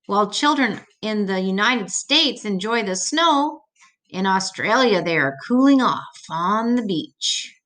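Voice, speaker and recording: A woman's voice: medium pitch, expressive, a little slow, a bit bright. An older woman reading an educational children's book aloud, adding simple, patient explanations as she goes.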